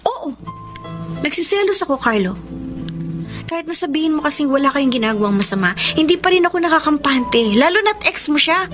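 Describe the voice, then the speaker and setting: A high-voiced young woman in love, insecure and jealous. Her delivery is emotional and swings widely in pitch, in radio romance style, with music underneath. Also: lively intonation, a little fast. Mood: hurt, suspicious. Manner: emotional, code-switches into English. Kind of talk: Tagalog radio romance drama acting and narration.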